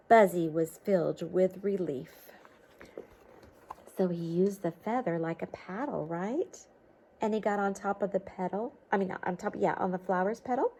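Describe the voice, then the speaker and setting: A woman reading a picture book aloud to children, adding simple encouraging explanations and checking questions as she goes. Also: mid-range voice. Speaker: storybook reader for children. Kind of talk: expressive picture-book read-aloud with chatty asides to young listeners.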